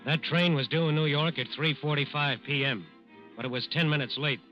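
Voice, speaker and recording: A man's voice: fairly high pitch, steady tone, a little fast, loud music behind the voice. A man narrating a scripted 1940s radio crime drama in a dramatic style. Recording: muffled old radio recording.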